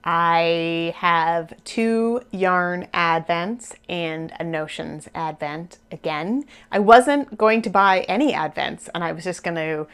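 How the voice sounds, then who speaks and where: A woman's voice: on the low side, slow, hardly any pauses, a bit bright, clean tone. A woman in her thirties or forties hosting a knitting podcast, chatting casually and rambling with small laughs, her voice a little worn. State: losing her voice a bit. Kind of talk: casual chat to camera.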